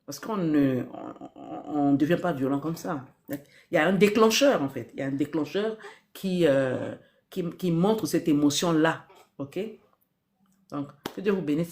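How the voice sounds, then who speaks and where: A woman's voice: low voice, moderate pace, darkish tone. Earnest woman giving spiritual advice. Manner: earnest, repeats key phrases, asks rhetorical questions. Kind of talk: live-stream spiritual advice.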